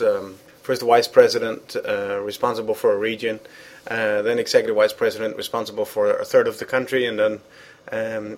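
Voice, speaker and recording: Hesitant Danish-native man. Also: fairly low pitch. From Denmark. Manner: hesitant, many restarts and fillers. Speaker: guest, former international organization president. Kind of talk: conversational interview.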